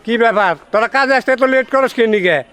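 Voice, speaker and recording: A man's voice: extremely high pitch, quick pace, fairly bright tone. A man playing a stern, irritated factory boss who berates workers bossily in a comic drama. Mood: irritated scolding. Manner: bossy, scolding. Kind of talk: acted dialogue in a Bangla comic short drama.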